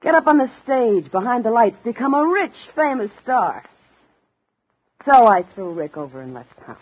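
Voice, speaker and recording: A muffled vintage radio recording of a tough showgirl. Her voice is husky and bitter, with slangy, melodramatic phrasing and a wounded edge. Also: a little high, big pitch swings, moderate pace. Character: bitter, wounded showgirl, a young woman with a past. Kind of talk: 1950s radio drama acting.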